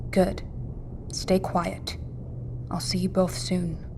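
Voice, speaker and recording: A woman's voice: fairly low pitch, flat delivery, takes it slowly, in a whisper. An actress playing a resolute, practical, selfless woman in a naturalistic audio drama. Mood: firm, hushed.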